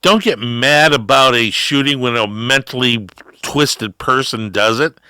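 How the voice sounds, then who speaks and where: A middle-aged man holds forth on his talk show in a slow, drawn-out ramble. His voice is bright and clear, pushing up hard on the words he stresses. The sound is close and dry, with no room around it. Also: medium pitch, no room sound. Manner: drawn-out, rambling monologue with emphatic rises. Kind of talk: ranting political talk.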